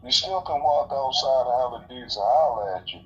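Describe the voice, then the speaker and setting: A man's voice: animated, fairly quick. A man in a casual, opinionated phone conversation, speaking emphatically in profane slang and repeating words to make his point. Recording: dull, noisy phone call audio with rumble.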